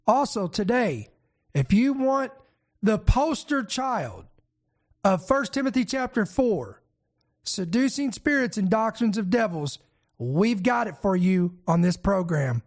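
Raspy, congested high-voiced male host. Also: unhurried. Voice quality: raspy, congested from a head cold. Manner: energetic, emphatic preacher-style delivery with fillers. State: fighting a head cold. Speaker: host and pastor. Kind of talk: news commentary show.

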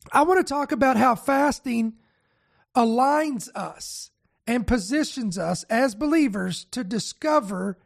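A male pastor hosting a teaching broadcast, raspy and nasal with a head cold, talking casually and straight to his viewers. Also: very high-pitched. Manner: relaxed, speaks straight to viewers. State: congested from a virus.

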